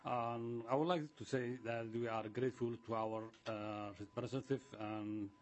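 A man giving prepared public testimony in a formal, halting way. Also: mid-range voice, fairly even intonation. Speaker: community association representative giving testimony.

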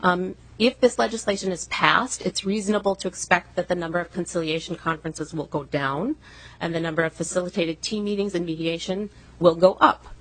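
A woman giving prepared public testimony at a hearing, making her argument in a formal, measured manner. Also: on the low side, steady tone, a bit bright, poor audio.

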